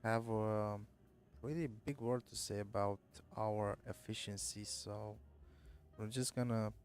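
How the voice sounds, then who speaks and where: A man's voice: fairly low pitch, fairly even intonation, unhurried, darkish tone, soft background music. A man live-streaming a driving game, chatting casually and thinking aloud with lots of fillers, his voice nasal and stuffy from a cold. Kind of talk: casual live-stream chat while gaming.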